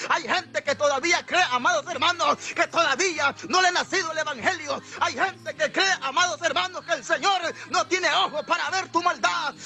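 A man preaching a fervent evangelical revival sermon, exhorting repetitively with devout interjections and an emotional, impassioned delivery. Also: very high voice. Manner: emotional, impassioned, often rising toward shouts or tearful pleading.

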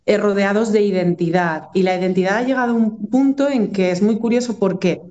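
A woman's voice: mid-range voice, fairly quick, dark tone, muffled recording. A woman from Aragón with a northern Spanish accent, talking in a casual, reflective and discursive way as a musician being interviewed. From Aragón, Spain.

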